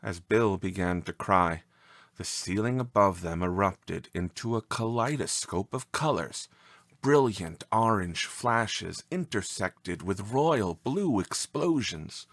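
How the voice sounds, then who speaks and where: Deep-voiced man narrating a story, unhurried. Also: lively intonation, a bit bright, dry recording. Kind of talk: expressive scripted story narration.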